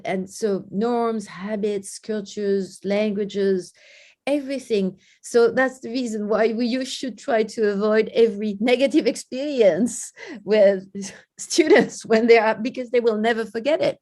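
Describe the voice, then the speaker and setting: A woman from France with a French accent, a guest in an interview, speaking earnestly and slowing down to stress key points. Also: medium pitch, darkish tone. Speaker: guest education researcher. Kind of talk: interview conversation.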